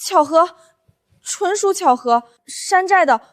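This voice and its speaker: An actress playing a panicky young office worker in an animated short drama, flustered and melodramatic as she makes excuses. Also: extremely high pitch, takes it slowly, dry recording, studio quality, full character voice. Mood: flustered, evasive.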